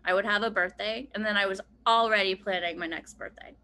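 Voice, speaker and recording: A woman's voice: mid-range voice, steady tone, a little fast, bright, forceful tone. A woman in her thirties from Pennsylvania, a podcast guest talking casually with many "like" fillers and easy laughter. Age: 30s. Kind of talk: casual conversation.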